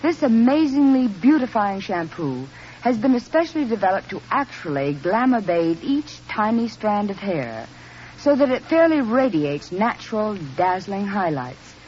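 Animated 1940s radio ad woman. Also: mid-range voice, moderate pace. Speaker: commercial spokeswoman. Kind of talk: scripted 1940s radio advertisement. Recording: muffled, noisy old radio recording.

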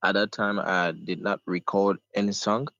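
Deep-voiced man on a phone. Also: level tone, sharp tone, no room sound. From Liberia, West Africa. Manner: polite, halting, with fillers. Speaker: guest musician. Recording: muffled phone line.

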